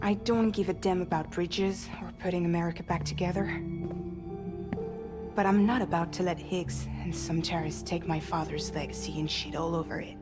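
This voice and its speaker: Actress as bitter but loyal young woman, low voice, blunt, solemn, game drama, loud music. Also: steady tone, moderate pace, close to an everyday voice. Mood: defiant. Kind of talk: dramatic video game cutscene acting. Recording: loud new-age music behind.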